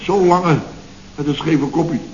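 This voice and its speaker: A man's voice: a little high, measured pace, lightly acted. An actor playing a self-important, muddled male contractor boss in a vintage radio comedy, blustering and stammering as he repeats himself. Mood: muddled, insistent. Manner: pompous, repetitive, stammering bluster. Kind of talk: 1970s radio comedy acting. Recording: roomy, muffled, noisy old radio recording.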